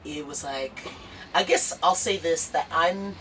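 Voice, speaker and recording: A woman's voice: deep voice, measured pace, fairly bright tone, distant mic, slight background noise, rough recording. A young woman talking about her music in an earnest, rambling interview full of fillers and restarts. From United States. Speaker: singer-songwriter. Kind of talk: casual documentary interview.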